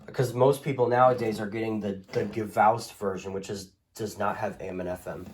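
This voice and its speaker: A man's voice: fairly low pitch, fairly even intonation, a little fast. A man hosts a casual show-and-tell in a slightly noisy home recording with small clicks. His delivery is unscripted and hesitant. He rambles along, backing up and correcting himself as he goes. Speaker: host of a radio collection video.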